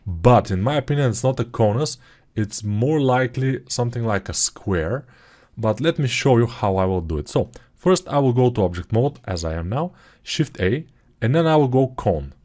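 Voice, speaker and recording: A man's voice: mid-range voice, lively intonation, moderate pace, a little mellow. A man with a Bulgarian accent, speaking English as a second language, walking through a 3D modeling tutorial in a chatty, digressive way that often corrects itself. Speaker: 3D modeling course instructor. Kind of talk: software tutorial walkthrough.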